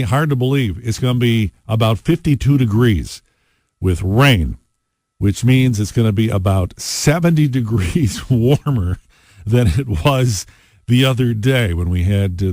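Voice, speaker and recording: A man's voice: mid-range voice, animated, measured pace, a little mellow, no room sound, studio quality. An American man hosting a rock radio show, talking in casual, conversational DJ patter with playful asides. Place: radio studio. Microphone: close studio broadcast mic.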